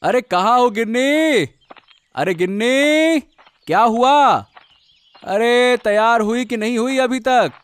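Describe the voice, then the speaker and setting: An actor playing an impatient, nagging young husband in an animated moral story. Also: male voice, extremely high pitch, slow, clear, resonant tone, dry recording, heavily acted.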